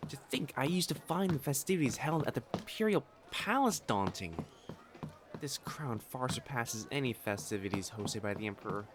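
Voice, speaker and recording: An actor playing a proud, secretly smitten young noble matchmaker in a romantic audio drama, with haughty formal diction that turns to stammering when flustered. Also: male voice, mid-range voice, lively intonation, normal speed, noisy background, natural voice. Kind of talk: acted romantic audio drama monologue to the listener.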